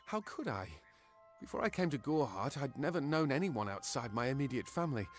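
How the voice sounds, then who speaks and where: A man's voice: medium pitch, sing-song, fairly quick, soft background music, close to an everyday voice. A man voicing a naive, boastful young man in a video game, speaking in an earnest, impulsive, self-important way. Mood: ashamed, pleading. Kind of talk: video game character acting.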